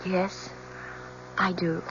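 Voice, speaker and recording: An actress playing an anguished, honest young woman with a halting, earnest manner in a 1970s radio drama. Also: lowish voice, level tone, a bit bright, heavily acted. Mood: nervous, subdued. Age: young adult. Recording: dull, noisy 1970s radio recording with hum.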